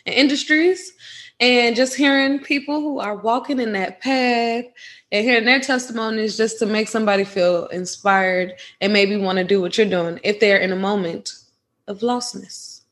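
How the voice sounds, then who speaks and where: A chatty woman. Her voice sits a bit high, and she laughs easily as she talks. She keeps the interview loose and casual. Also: moderate pace, a bit bright. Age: 20s. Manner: laughs often, chatty, uses fillers. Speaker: podcast host.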